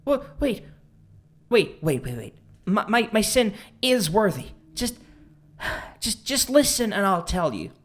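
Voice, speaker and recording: Audio drama: young male online creep, self-pitying, boastful, theatrical, very animated delivery. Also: a little high, a little slow. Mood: frantic pleading. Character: bitter, boastful young male internet misogynist, pleading then ranting.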